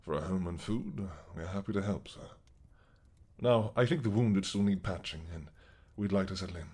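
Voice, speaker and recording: A man voicing an old, once-mad dragon king who is possessive and doting, speaking slowly with a tender, teasing manner in an audio roleplay. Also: deep voice, mellow, breathy edge. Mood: formal.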